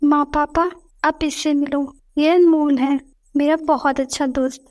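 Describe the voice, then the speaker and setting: A woman's voice: sits high, fairly even intonation, measured pace, darkish tone. A woman playing an earnest young woman in love and newly married, in a scripted story. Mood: earnest, polite. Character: earnest young woman, sweetheart and new bride. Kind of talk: scripted audio story dialogue.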